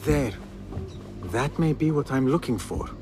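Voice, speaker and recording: A man's voice: medium pitch, animated, unhurried, music in the background, lightly acted. An actor playing a cocky, playful and ambitious young male thief in a video game cutscene. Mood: quietly confident. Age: young adult.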